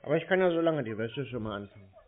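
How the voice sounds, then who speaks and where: A man's voice: mid-range voice, expressive, very fast. A man chatting casually on a recorded speakerphone call, playing naive with an obtuse, rambling, literal-minded manner. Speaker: prank caller on scam call centres. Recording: muffled lo-fi phone recording, faint noise.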